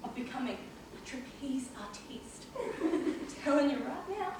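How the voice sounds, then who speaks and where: An eccentric, teasing old aunt played by a woman in a comic stage play. Her delivery is animated and swooping, picked up from far off in a very echoey space. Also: sits high, measured pace, a little hiss or hum. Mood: gleeful reminiscing. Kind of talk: comic amateur stage acting. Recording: echoey stage, distant mic.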